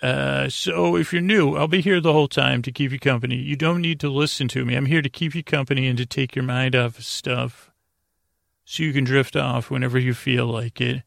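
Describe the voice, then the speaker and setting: A man hosting a bedtime podcast in a gravelly voice, rambling haltingly and repeating words as he drifts into goofy, gently silly tangents. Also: medium pitch, fairly even intonation, no echo, studio quality. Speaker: host of a bedtime sleep podcast. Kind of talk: rambling, meandering bedtime monologue.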